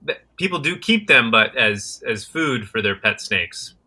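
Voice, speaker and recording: A man's voice: mid-range voice, lively intonation, unhurried, pushed and bright, husky. An American man answering interview questions as a guest, often repeating words and restarting while he finds his phrasing. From United States. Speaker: guest, criminology researcher and conservationist.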